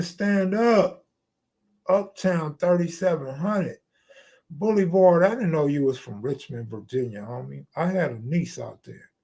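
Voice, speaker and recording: A man's voice: fairly high pitch, creaky, mellow. An American man hosting a live stream, chatting casually in slangy, profane, streetwise talk.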